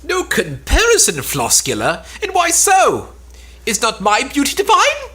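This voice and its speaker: A woman's voice: high voice, wildly swooping pitch, measured pace, a bit bright, full character voice. A woman playing a proud, vengeful spurned earth goddess, declaiming archaic lines in an amateur cold read of an Elizabethan play. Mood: indignant, boastful.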